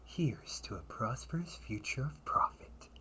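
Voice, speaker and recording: A man playing a smooth, scheming older brother who brokers information for a crime syndicate, teasing and theatrical in an audio roleplay. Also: mid-range voice, lively intonation, bright, forceful tone, whispered. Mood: satisfied, smug. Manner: silky, teasing, unhurried, theatrical.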